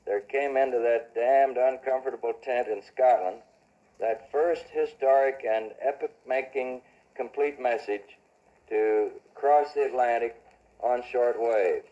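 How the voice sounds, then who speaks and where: A man telling a first-person reminiscence in a measured, wry way with old-fashioned formal phrasing. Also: mid-range voice, warm tone. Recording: dull archival radio recording.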